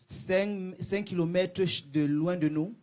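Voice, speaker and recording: A man working as a church interpreter, repeating each phrase right after the preacher. He restarts and corrects himself often, and his voice is slightly high. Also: fairly even intonation, darkish tone. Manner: quick echoes with self-corrections. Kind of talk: live sermon interpretation.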